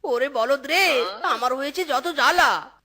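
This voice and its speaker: A woman's voice: sits high, measured pace, bright, forceful tone. A woman voicing a fussy male jackal husband in a cartoon, scolding his two wives. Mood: exasperated. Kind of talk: cartoon voice acting.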